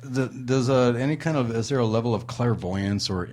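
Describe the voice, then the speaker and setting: A man's voice: medium pitch, normal speed, darkish tone, slight background noise. A man hosting a radio talk show from a studio in a historic house, rambling with lots of fillers and a sarcastic streak. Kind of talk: casual radio chat.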